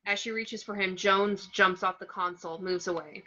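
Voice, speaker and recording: A woman's voice: medium pitch, steady tone, normal speed, sharp tone, a little hiss or hum. A woman narrating stage directions from a screenplay in short clipped phrases, on a lo-fi recording. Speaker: narrator reading action descriptions.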